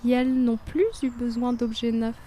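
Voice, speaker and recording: A woman's voice: on the high side, flat delivery, moderate pace, dark tone, slight background noise. A woman reciting short scripted declarations. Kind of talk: scripted recitation for a fiction podcast.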